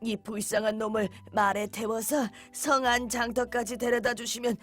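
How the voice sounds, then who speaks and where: A woman's voice: on the high side, level tone, normal speed. A woman playing a sly lame beggar man. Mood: pleading. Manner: fawning pleading turning to rude demands. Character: sly lame male beggar, wheedling then brazen. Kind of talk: children's storybook audio drama. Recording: soft background music.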